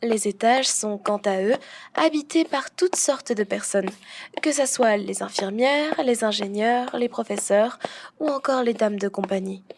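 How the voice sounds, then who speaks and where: A woman playing a strict, dutiful head housekeeper in a French audio roleplay, speaking in a prim, formal, measured way. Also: fairly high pitch, background noise.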